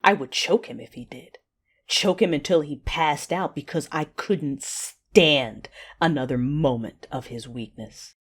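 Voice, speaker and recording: A woman playing a betrayed, commanding dark-side warrior in an audio drama, narrating in the first person with intense, deliberate menace. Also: low-pitched, husky. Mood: bitter, menacing.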